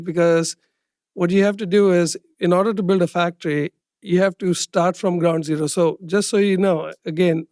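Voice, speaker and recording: A man's voice: sits high, a little mellow, dry recording, clean studio sound. A man speaking as a company executive, explaining in answers on an earnings call and checking with short tag questions.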